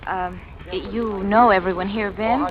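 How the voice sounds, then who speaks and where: A polite, educated hostess acted by a woman stammers nervously through her welcomes at a middle pitch. The sound is a muffled 1940s radio drama with a crowd chattering behind. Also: fairly even intonation, measured pace, natural voice.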